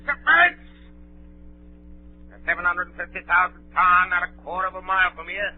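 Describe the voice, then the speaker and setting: Pirate ringleader, swaggering and theatrical, raised pitch, thin vintage radio drama sound. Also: male voice, lively intonation, moderate pace. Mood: blustering. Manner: theatrical, blustering. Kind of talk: 1930s radio acting. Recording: tinny 1938 radio broadcast recording.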